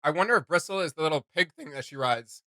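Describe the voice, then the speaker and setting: A man with a very bright, slightly high voice chats casually as a reaction host. He feels his way through thoughts with restarts and repetitions, and the sound is dry and close. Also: lively intonation, no room sound. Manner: halting, searching for words, repeats himself.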